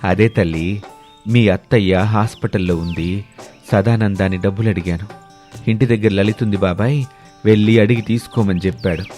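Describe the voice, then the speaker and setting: A man voicing a scheming, fawning middle-aged village uncle in an animated moral story, coaxing others and muttering to himself. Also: on the low side, normal speed, soft background music, pitched below the performer's own voice, lightly acted.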